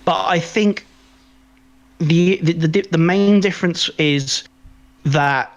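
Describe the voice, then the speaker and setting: A man co-hosting a podcast in casual film review chat, rambling and halting as he repeats words mid-sentence. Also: fairly high pitch, dull audio. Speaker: podcast co-host.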